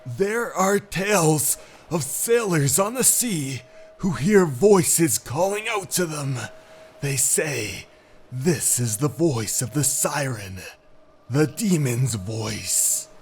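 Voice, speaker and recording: An older man voicing a grave, superstitious boat boss who speaks slowly and ominously. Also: fairly high pitch, lively intonation, soft background music, voice pushed up.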